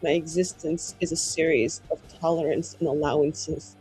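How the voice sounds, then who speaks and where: A player of unclear sex voicing a bitter, proud and defiant mage in a tabletop game, with clipped, deliberate, cutting delivery and a husky voice. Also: mid-range voice, fairly even intonation, a little mellow, faint music behind, close to an everyday voice, poor audio. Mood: bitter, resentful. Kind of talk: in-character improvised dramatic dialogue.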